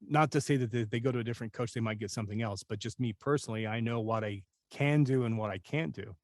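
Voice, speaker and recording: A man's voice: mid-range voice, quick pace, darkish tone, no room sound. Dry-sounding man, candid and conversational. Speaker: voice-over actor and coach, guest. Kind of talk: casual conversational interview talk.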